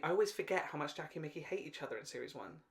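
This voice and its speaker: A man's voice: fairly high pitch, sing-song, rapid-fire. A British man in casual podcast conversation as a co-host. He talks like a devoted fan, gushing freely with plenty of slang and swearing.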